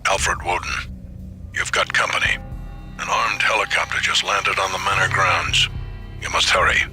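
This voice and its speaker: An actor playing a mysterious, urgent informant in a game, terse and solemn. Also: male voice, sits low, level tone, moderate pace, pushed and bright, faint music behind. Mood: urgent warning.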